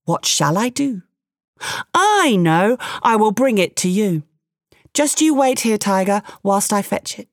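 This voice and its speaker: A woman's voice: very animated, no echo, clean studio sound. A woman voicing a sly, fawning man who pretends to be flustered while he tricks a tiger. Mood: fawning, mock-flustered. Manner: flustered, ingratiating, high and quick.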